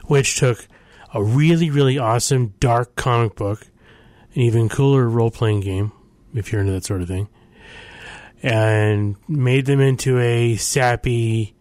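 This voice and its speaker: A man's voice: mid-range voice, measured pace, a little mellow, no echo. A middle-aged man hosting, chatting casually between songs with rambling, sarcastic asides and frequent self-corrections. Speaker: host.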